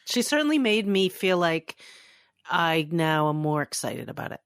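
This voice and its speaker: A woman's voice: lowish voice, sing-song, moderate pace, dry recording, clean studio sound. A woman co-hosting a podcast, chatting casually and enthusiastically. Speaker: podcast co-host. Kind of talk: casual chat.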